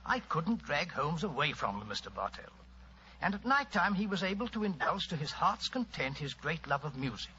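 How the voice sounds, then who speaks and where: Courtly, chatty English storyteller of advancing years, bright and forceful, 1940s radio drama, slightly noisy old recording. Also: male voice, a little high, very animated, normal speed. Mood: genial, reminiscing. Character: Doctor Watson, genial older English gentleman storyteller. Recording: muffled old radio recording, faint noise.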